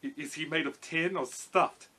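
A man's voice: a little high, steady tone, normal speed, pushed and bright, breathy edge, pitched above the performer's own voice. A man voicing a big cowardly lion from a storybook, plaintive and blustery. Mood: curious, sheepish. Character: big male lion, cowardly, self-pitying, curious.

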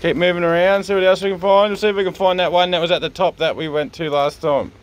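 A man's voice: high-pitched, fast. A man with an Australian accent, a father casually chatting and teasing his sons while filming outdoors on a handheld phone. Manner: relaxed, teasing, easygoing dad talk. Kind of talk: casual family vlog chat. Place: outdoors in seaside sandstone caves. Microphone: handheld phone camera.

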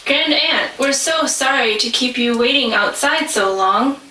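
A woman's voice: high-pitched, animated, measured pace, sharp tone, full character voice. A woman playing a timid, trusting little girl in a puppet show, simple and childlike. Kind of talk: puppet show stage acting. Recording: echoey room from far off, faint noise.

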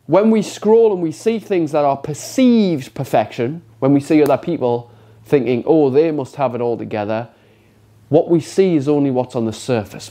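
A man's voice: a little high, mellow. A man in his thirties giving an unscripted, motivational talk to the camera with a congested, stuffy nose, speaking frankly and emphatically and swearing often. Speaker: motivational vlogger. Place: indoor studio with brick wall.

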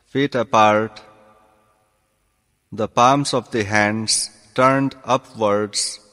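A man teaching yoga through guided meditation, calm and deliberate, giving instructions in short measured phrases. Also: medium pitch. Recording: long reverberant hall sound.

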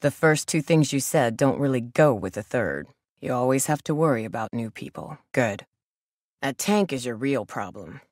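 A woman's voice: a bass voice, animated, moderate pace, a little mellow, dry recording. A woman voice actor playing a guarded, blunt, protective young survivor of a zombie apocalypse in a video game, with a dry delivery. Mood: guarded, wary.

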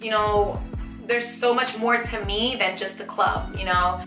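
A young woman in her twenties, chatty and animated, answering viewer questions in a casual vlog from a small bedroom. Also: medium pitch, a little slow, echoey room, over music. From United States. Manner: chatty, animated, lots of fillers and restarts. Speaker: vlogger. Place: small bedroom with shelves, indoors.

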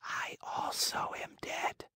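A deathly still ghost maiden in a storybook reading, speaking in a hushed, unvoiced whisper so weak it can hardly be heard. The words come slow and soft, as if from a distance. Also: male voice, no room sound, clean studio sound. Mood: ghostly faint. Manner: barely audible breathy whisper.

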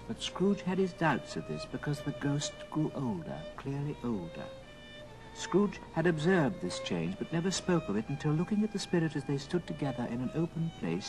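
A man narrating an old radio drama as a dramatic storyteller, with theatrical, deliberate phrasing. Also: fairly high pitch, poor audio. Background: music.

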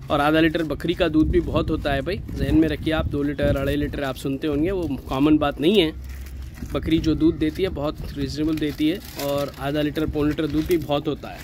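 A man's voice: a little high, a little mellow, a little hiss or hum. A man with a Hyderabadi accent, a goat farm owner giving a chatty, persuasive sales walkthrough outdoors in a goat pen. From India.

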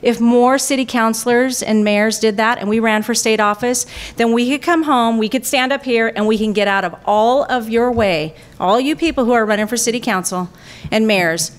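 A woman's voice: a little high, a little fast. A middle-aged woman delivering a confident, rousing campaign speech at a podium mic in a banquet room. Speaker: political candidate. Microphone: podium microphone.

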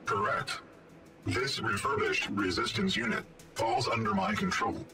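A man's voice: fairly low pitch, very animated, measured pace, distant mic, over music. A man playing a polite artificial intelligence robot in a video game, speaking in formal, precise, stilted phrases. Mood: courteous, measured.

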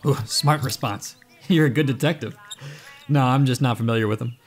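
An actor playing a cocky, smooth-talking inventor who teases and boasts in an audio drama. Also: male voice, medium pitch, moderate pace, soft background music, natural voice. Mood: teasing. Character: cocky, glib inventor and self-styled man of science.

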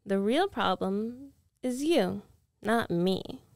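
A woman's voice: medium pitch, expressive, takes it slowly, gravelly, dry recording, clean studio sound. A woman playing a confident, possessive rich ex-girlfriend in an ASMR roleplay, coaxing and teasing in a self-assured way. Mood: insistent, possessive.

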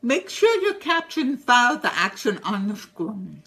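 A woman with a deaf accent speaks slowly and carefully into the camera for a short tip video. Her pitch is lively and swings widely as she explains. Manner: deaf accent, slow deliberate speech. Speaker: presenter in her own recorded tip videos. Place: home room with shelves.

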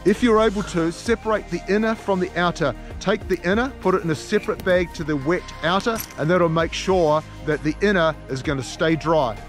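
A man's voice: high-pitched, fairly quick, hardly any pauses, faint music behind. Upbeat New man, outdoor tips. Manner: upbeat, encouraging, direct to camera. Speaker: outdoor channel host. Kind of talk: instructional outdoor vlog. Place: outdoors on a mountain meadow by a tent. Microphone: camera at arm's length.

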